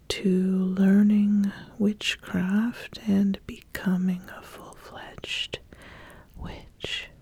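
A woman playing an ambitious, appraising witch in a soft, breathy, deliberate ASMR roleplay whisper. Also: medium pitch, dry recording, exaggerated voice. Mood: measured, knowing. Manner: slow, deliberate, measured, with long pauses. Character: ambitious, appraising witch interviewing a would-be apprentice.

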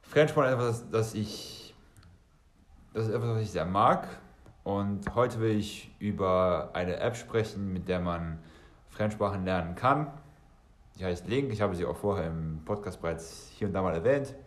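A man's voice: deep voice, measured pace, reverberant, slight background noise. A bilingual German and Serbian man hosting a solo podcast, talking conversationally and directly to listeners, often rephrasing as he goes. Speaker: podcast host.

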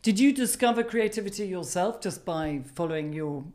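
A woman's voice: mid-range voice, animated, normal speed, darkish tone. A woman hosting a podcast interview, asking questions with frequent restarts and hesitations. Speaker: podcast host.

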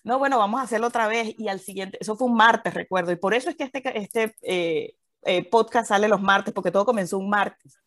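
A woman's voice: medium pitch, a little fast, fairly bright tone, no room sound. A Venezuelan woman in her thirties co-hosting a podcast, chatting casually with many fillers and restarts. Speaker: podcast co-host.

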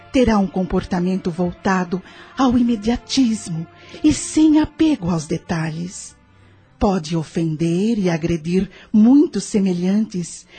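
Lady playing a wise spirit guide in a brazilian radio play, dark very breathy voice, patient, teacherly, music behind. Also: mid-range voice. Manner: measured, reassuring, didactic. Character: patient, wise female spirit mentor, consoling and instructive. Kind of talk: Brazilian radio drama acting.

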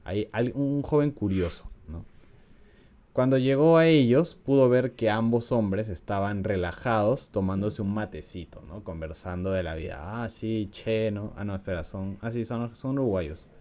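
Low, mellow-voiced man telling a story. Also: moderate pace. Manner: chatty, laughs often, frequent "¿no?" tags. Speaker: podcast host. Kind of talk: casual storytelling podcast.

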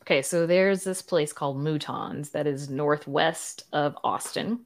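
A woman's voice: low voice, a little slow. A woman chatting casually as a podcast guest, enthusiastic and emphatic about what she likes. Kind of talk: casual chat.

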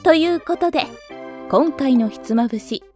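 A woman's voice: high-pitched, animated, normal speed, a little mellow. A young woman reading a scripted, easygoing podcast introduction. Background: music.

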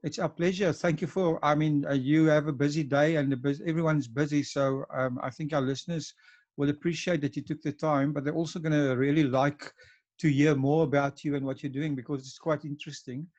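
A man's voice: on the high side, fairly even intonation, brisk, darkish tone, dull audio. A man hosting an interview podcast, often stumbling and restarting his sentences. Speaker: podcast host.

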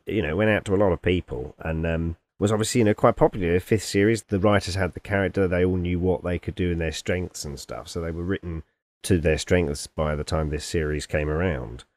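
A man's voice: sits low, brisk, a little mellow, dry recording. A man hosting a podcast, chatting casually and rambling with plenty of fillers. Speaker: podcast host. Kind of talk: casual chat.

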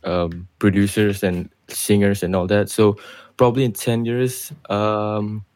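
Chill guy hosting a call, kinda low voice, hesitant, lots of ums. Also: unhurried, no echo. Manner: relaxed, hesitant, soft-spoken. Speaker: podcast host, music producer. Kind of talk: casual group chat over voice call.

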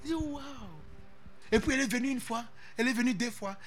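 A man preaching a sermon as pastor, animated and playful, dropping English interjections into his talk. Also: extremely high pitch, brisk.

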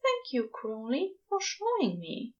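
A woman voicing a gentle, earnest male angel in a story, softly spoken and full of fond wonder. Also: high voice, wildly swooping pitch, measured pace, dull audio, pitched above the performer's own voice, exaggerated voice. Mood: soft gratitude. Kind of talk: podfic character dialogue.

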